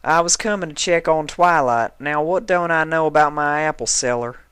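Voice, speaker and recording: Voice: mid-range voice, normal speed, fairly bright tone, smooth, dry recording, voice pushed up, close to an everyday voice. A performer voicing a plain-spoken, teasing female cartoon farm pony with folksy, forthright country talk. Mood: skeptical.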